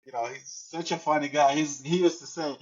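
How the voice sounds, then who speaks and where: A man hosting a podcast, talking in a relaxed, friendly way with lots of "you know". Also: fairly high pitch, brisk, husky. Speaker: podcast host. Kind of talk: casual interview chat. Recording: dull lo-fi sound.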